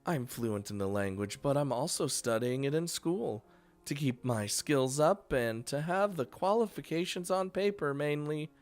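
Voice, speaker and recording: A man reading a calm, polite teenage girl. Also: a little high, a little slow, voice pushed up, natural voice. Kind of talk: reading game dialogue aloud.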